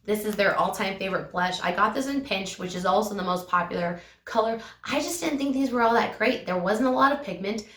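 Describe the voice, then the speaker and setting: A hoarse woman with a cold-roughened, slightly raspy voice chats enthusiastically through a product review. She lingers on her favorite words. She sounds a little away from the mic, in a roomy, echoing space. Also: mid-range voice, a bit bright, distant mic. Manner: enthusiastic, gushing, slows down to stress favorite words. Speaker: beauty vlogger. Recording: echoey room, voice a bit off-mic.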